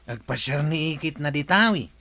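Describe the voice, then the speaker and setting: A man's voice: a little high, lively intonation, normal speed, bright, forceful tone. An actor playing a curious neighbor man in a radio comedy drama, asking casual questions.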